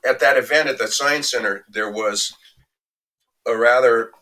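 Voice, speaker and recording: A man answering an interview question in a reflective, anecdotal way, measured and conversational. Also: medium pitch, pushed and bright, rough recording. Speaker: interview guest recounting a reporting trip.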